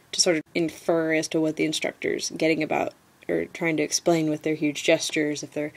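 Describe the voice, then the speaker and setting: A woman's voice: low-pitched, creaky. A young American woman giving an informal explanatory presentation, like a student teacher talking through a lesson.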